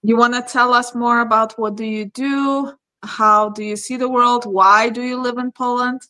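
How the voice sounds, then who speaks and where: A woman hosts her channel with a bright, clear, fairly high voice. She greets viewers in a friendly way and asks her guest direct questions in a casual tone. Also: fairly even intonation, normal speed. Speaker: host of the channel. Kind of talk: casual interview hosting.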